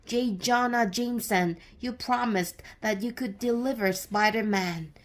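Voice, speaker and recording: Storybook villain: woman playing a male supervillain, theatrical, threatening, deliberately slow delivery. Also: a little high, lightly acted. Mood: vengeful. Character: booming male supervillain, menacing master of illusion.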